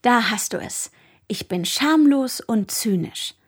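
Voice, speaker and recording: A woman's voice: a little high, lively intonation, takes it slowly, slightly rough, full character voice. An actress playing a candid young woman in a radio drama reading. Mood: brazen, confiding.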